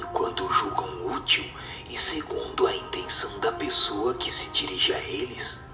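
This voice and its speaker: A man voicing a wise, solemn spirit who teaches gravely in a low, breathy, half-whispered voice, in a radio drama. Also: roomy sound, far from the mic. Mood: grave, instructive. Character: wise superior spirit answering questions, solemn and instructive.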